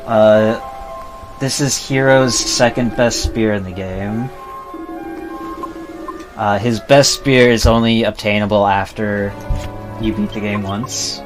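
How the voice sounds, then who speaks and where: Slow-talking, low-voiced man over game music. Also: fairly even intonation. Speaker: live streamer playing a video game. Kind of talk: casual gameplay commentary. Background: video game music.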